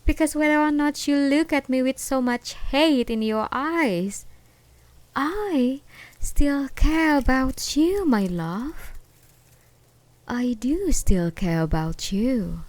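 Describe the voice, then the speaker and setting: A woman playing an obsessed, unhinged ex-girlfriend in an ASMR roleplay, taunting and possessive in a sweetly menacing, giggly way with drawn-out words. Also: high-pitched, lively intonation, a little mellow, no room sound. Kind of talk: ASMR roleplay acting, one side of a conversation.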